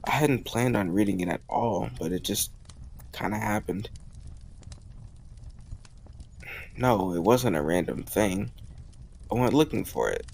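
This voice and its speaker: A man acting a warm, teasing young suitor in a romantic audio roleplay, talking casually and intimately to the listener. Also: lowish voice, lively intonation, moderate pace, gravelly, husky, heavily acted. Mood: teasing, fond. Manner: casual, gently teasing, conversational with soft chuckles.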